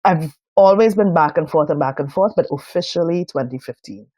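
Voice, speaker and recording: A Trinidadian woman in her fifties, talking as a podcast guest. She is thoughtful and often pauses with fillers. The sound is dry and close. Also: low voice, dark tone, slightly rough, dry recording. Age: 50s. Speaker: guest designer. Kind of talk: reflective podcast interview.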